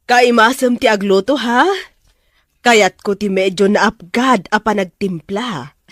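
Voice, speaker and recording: A woman's voice: medium pitch, takes it slowly, no echo, studio quality. An actress playing a composed madam giving instructions to her maid in a radio drama.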